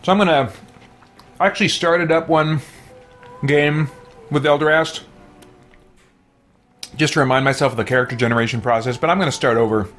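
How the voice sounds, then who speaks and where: A man's voice: fairly high pitch, a little fast, pauses often, a bit bright, soft background music. A man talking casually as he streams a video game.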